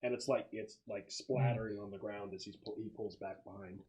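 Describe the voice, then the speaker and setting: A man's voice: on the low side, fairly even intonation, brisk, darkish tone, dull audio. A man narrating a tabletop roleplaying game as game master, explaining things in a casual, improvised way.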